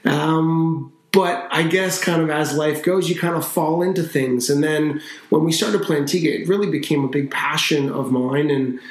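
A man in his thirties from Canada, a company founder talking about his life in a casual podcast interview, relaxed and chatty with lots of fillers. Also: on the high side, normal speed, hardly any pauses. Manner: relaxed, talkative, with frequent fillers and restarts. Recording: roomy echo.

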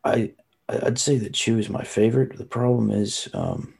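Gravelly low-voiced young man. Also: huge pitch swings, moderate pace, darkish tone. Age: young adult. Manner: gravelly hunched-over drawl he uses only when recording. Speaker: podcast co-host. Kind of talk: casual chat.

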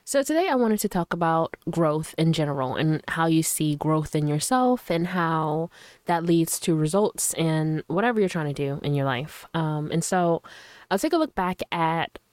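A woman hosting her own podcast in a loose, chatty way, her voice a little hoarse from a cold, earnest and encouraging toward her listeners. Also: deep voice, darkish tone, no room sound. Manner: loose and chatty, full of fillers. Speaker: podcast host. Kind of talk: casual solo podcast talk. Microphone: studio microphone.

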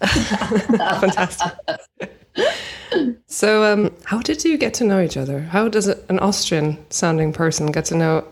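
A woman's voice: mid-range voice, a little slow, slightly rough. A woman hosting a podcast interview, upbeat and encouraging. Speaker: podcast host. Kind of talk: casual interview.

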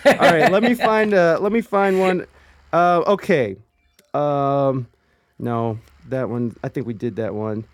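A man from Ohio hosting a casual streamed chat show, chatty and quick to laugh. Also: fairly high pitch, dry recording. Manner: chatty, laughs often, restarts words.

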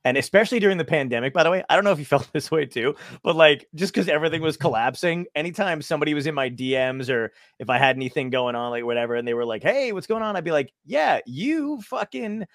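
A man in mid-range pitch chatting as a podcast host, rattling along at a brisk clip with lots of swearing and tangents. The sound is dry and close, with no room around it. He speaks loosely and laughs at himself. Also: a bit bright, no echo. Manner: fast, profane, self-deprecating, rambling. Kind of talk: casual interview chat.

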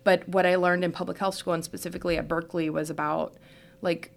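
Creaky-voiced American woman, lowish pitch. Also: little pitch movement, quick pace. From Houston, United States. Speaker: podcast guest, trauma surgeon. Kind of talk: conversational personal narrative in an interview.

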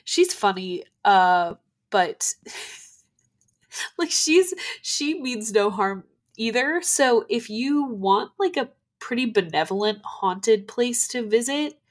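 A woman's voice: fairly high pitch, expressive, slow pace. Woman with a cold-stuffed nasal voice. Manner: chatty, many "like" fillers and restarts. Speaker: podcast co-host. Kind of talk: casual chat about ghost stories.

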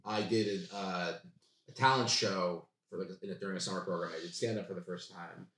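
A young man talks very quickly in a slightly low voice. He swears and jokes casually as a podcast guest, in a roomy, echoey space. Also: fairly even intonation, fairly bright tone. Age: young adult. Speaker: guest comedian and sketch writer.

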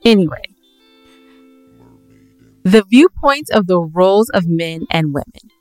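A woman's voice: on the low side, lively intonation, measured pace, a little mellow, no room sound, faint music behind. A woman co-hosting a podcast in a casual, playful, teasing way. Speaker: podcast co-host. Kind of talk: casual banter between co-hosts.

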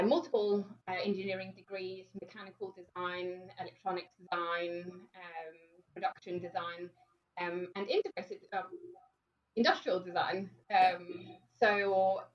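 A woman from Denmark, a podcast guest in her thirties, chatting casually with lots of ums and laughs. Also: medium pitch. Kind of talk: casual conversation. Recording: dull lo-fi sound.

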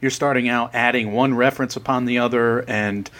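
A man's voice: medium pitch, steady tone, fairly quick, fairly bright tone. A man who is a guest on a film podcast, rambling casually and laughing at his own jokes. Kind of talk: casual film review chat.

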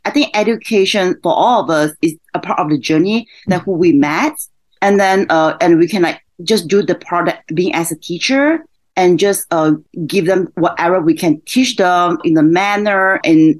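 A woman's voice: fairly low pitch, a little mellow. Reflective woman teacher. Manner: reflective, filler-heavy with "you know" and quick laughs. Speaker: teacher and guest.

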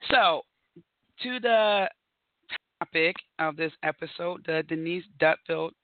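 A woman hosting her own podcast, her voice raspy and hoarse as she recovers from bronchitis, talking in a chatty, upbeat way with frequent laughs. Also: low-pitched, slow pace, narrow, tinny audio, slight background noise. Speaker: podcast host. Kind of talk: solo podcast monologue.

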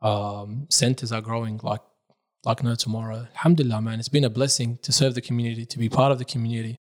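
A young man born in Iraq, a religious reciter, telling his life story in a relaxed, casual podcast chat, dropping Arabic phrases as he talks. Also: medium pitch, fast. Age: young adult.

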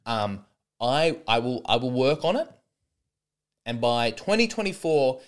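Animated rambling man. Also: medium pitch, measured pace. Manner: rambling, sarcastic, many false starts. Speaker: comedian podcast host. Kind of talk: rambling solo comedy podcast.

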